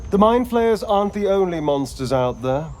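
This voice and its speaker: An actor playing a suave, sardonic vampire rogue in a video game, with an arch, theatrical drawl. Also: male voice, high-pitched, big pitch swings, moderate pace, darkish tone, a little hiss or hum. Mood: bitter, guarded. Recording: music playing behind the voice.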